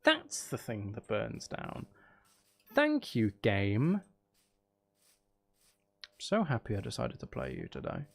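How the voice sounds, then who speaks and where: A man with a gravelly edge, chatting casually to viewers as he plays a game, rambling and trailing off as he thinks aloud. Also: on the low side, wildly swooping pitch, takes it slowly, long pauses. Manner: rambling, trailing off, muttering to himself. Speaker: streamer and audio creator chatting with viewers. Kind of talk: casual livestream gameplay chat.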